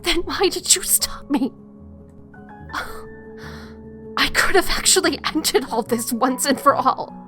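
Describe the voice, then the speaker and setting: A woman playing a guilt-ridden, defiant young woman in an audio drama, wounded, halting and often breathy. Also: high voice, animated, fairly bright tone, exaggerated voice. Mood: hurt, frustrated. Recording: music behind the voice.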